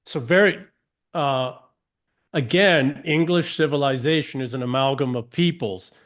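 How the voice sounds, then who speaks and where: A man's voice: medium pitch, unhurried, a bit bright. A man from the United States, a guest on an interview podcast, speaking emphatically, asking rhetorical questions and often restarting sentences.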